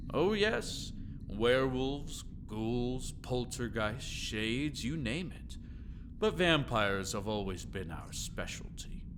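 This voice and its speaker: A man playing an older, vengeful, gloating one-eyed vampire hunter in a dramatic audio roleplay, slow and theatrically taunting. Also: medium pitch, background noise.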